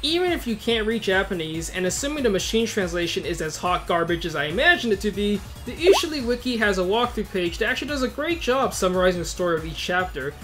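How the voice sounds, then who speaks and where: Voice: mid-range voice, very animated, fast talker, few pauses, music in the background. A video host reading a scripted but casual review, informal and opinionated, with wry asides.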